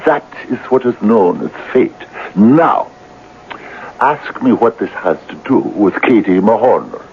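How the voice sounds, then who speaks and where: An actor playing a grandiloquent, persuasive pal who philosophizes in florid, pompous speeches in a radio drama. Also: male voice, fairly low pitch, animated, measured pace. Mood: grandly oratorical. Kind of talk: 1970s radio drama acting. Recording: tinny, noisy old radio broadcast.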